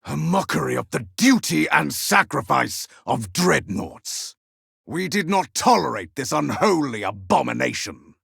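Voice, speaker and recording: A righteous armoured crusader from a video game, full of wrath. He declaims slowly and commandingly in a raspy, heavily gravelled voice. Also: a little high, very animated, fairly bright tone, no echo, clean studio sound, full character voice. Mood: righteous scorn. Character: wrathful, righteous male space marine crusader. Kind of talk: video game battle barks.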